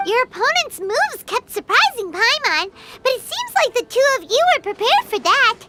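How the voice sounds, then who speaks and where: A woman voicing a tiny, excitable childlike fairy companion in a video game, with a squeaky voice. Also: normal speed, noisy background. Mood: curious, chirpy. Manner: excitable, exclamatory.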